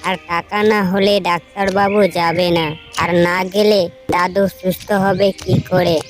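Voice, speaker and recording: A woman's voice: fairly low pitch, level tone, unhurried, soft background music, natural voice. A woman voicing a kind, anxious young village boy in a cartoon, earnest and pleading.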